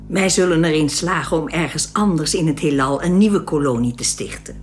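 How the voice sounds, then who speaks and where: A woman's voice: deep voice, moderate pace, close to an everyday voice. An actress playing a serene, proud young alien woman of a perfected race in a science-fiction radio drama, speaking in a composed, instructive way. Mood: proud, matter-of-fact.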